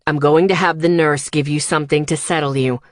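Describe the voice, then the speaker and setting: A woman voicing a soothing but firm male hospital doctor in an audiobook, speaking in a measured, formal way. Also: low-pitched, fairly even intonation, dry recording, clean studio sound, pitched below the performer's own voice, natural voice. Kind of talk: audiobook character dialogue.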